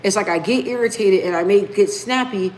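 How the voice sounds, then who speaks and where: A woman's voice: medium pitch, brisk, a little mellow, slight background noise, low-quality recording. A woman hosting a casual live stream, talking emphatically, repeating key phrases and reading viewer comments aloud. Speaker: livestream host.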